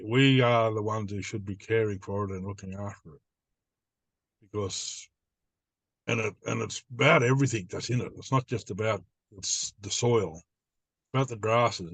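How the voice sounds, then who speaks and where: A man's voice: lowish voice, no echo. An older man from New South Wales with an Australian accent, speaking as an elder and teacher in plain, repetitive, simply built phrases. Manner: plain-spoken, repeats phrases in simple lists. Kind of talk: informal spoken teaching.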